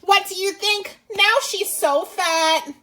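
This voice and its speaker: A woman's voice: very high-pitched, takes it slowly, pushed and bright. A woman reading a storybook aloud to young children as a teacher and host, with exaggerated expression and asides to the listener.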